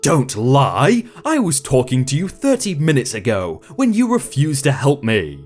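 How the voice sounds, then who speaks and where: A man voicing an obnoxious, demanding woman shopper who accuses and shouts. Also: mid-range voice, wildly swooping pitch, measured pace, soft background music. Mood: accusing.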